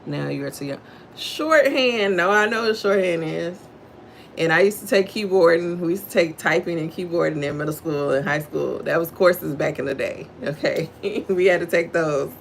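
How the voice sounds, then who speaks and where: A middle-aged woman from Houston, Texas, with a deep voice and a Southern drawl, hosting her own livestream. Her voice has a gravelly, fry-laced texture. She talks low and slow, moving between reading a devotional aloud and greeting her viewers by name. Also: slight background noise. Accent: Southern US, Texan. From Houston, Texas, USA. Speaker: livestream host. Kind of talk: devotional reading and casual chat with live viewers.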